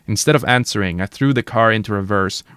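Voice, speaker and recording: A man's voice: on the low side, quick pace, fairly bright tone, clean tone, no room sound, studio quality. A man reading a novel aloud for his stream audience, narrating dramatically and chatting casually and slangily between passages.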